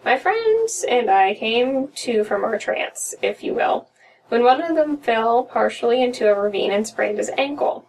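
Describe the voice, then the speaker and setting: Young American female narrator for amateur horror readings, voice on the higher side, slightly distant home recording. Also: animated, measured pace, a little mellow. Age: young adult. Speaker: podcast co-host reading horror stories. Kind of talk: reading a story aloud. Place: small room at home, indoors. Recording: distant mic with room noise.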